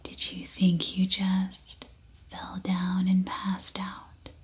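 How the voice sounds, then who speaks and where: A woman playing an unhinged, coaxing cannibal survivor in an ASMR roleplay, in a soft breathy whisper that soothes and menaces. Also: medium pitch, monotone, extremely slow, poor audio. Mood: eerily calm.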